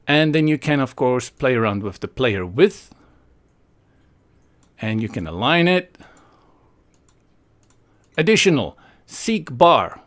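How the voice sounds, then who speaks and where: A man from South Africa presents a casual software tutorial in an opinionated, playful way, thinking aloud as he goes. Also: medium pitch, sing-song, slow pace, long pauses.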